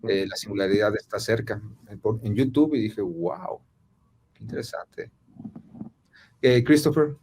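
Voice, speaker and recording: A man hosting a podcast conversation, asking questions with many filler pauses. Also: fairly low pitch, a little mellow, slight background noise. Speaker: podcast host. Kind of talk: casual conversational interview.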